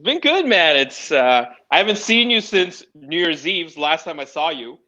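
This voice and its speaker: A Russian-born man with a slightly raised voice tells easygoing stories as a guest on a video-call podcast. He laughs easily and keeps things relaxed. His audio comes through a bit dull and lo-fi. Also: a little high, moderate pace. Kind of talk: casual interview chat. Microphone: home video call mic.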